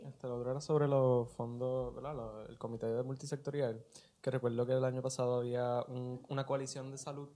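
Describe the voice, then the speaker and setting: Male reporter, soft small voice with flat intonation, tentative informal questions at a press briefing. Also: mid-range voice, fairly quick.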